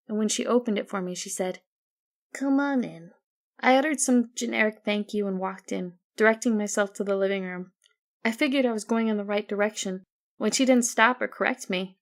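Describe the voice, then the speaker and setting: Female audiobook narrator for first-person fiction, higher register with noticeable vocal fry.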